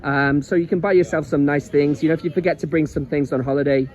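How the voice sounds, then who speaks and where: A man's voice: on the high side, steady tone, fast talker, a little mellow. A man with a Liverpool accent giving a rambling, chatty travel guide while walking along an outdoor seaside promenade. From England. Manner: chatty, rambling, repeats words. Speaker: travel vlogger. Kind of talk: casual walking travel vlog.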